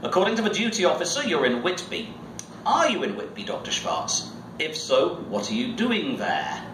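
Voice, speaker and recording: A man's voice: fairly high pitch, huge pitch swings, moderate pace. Weary, doom-laden older spymaster, performed by a man. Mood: suspicious. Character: weary, suspicious older male secret-agency boss. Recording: echoey, noisy room, distant mic.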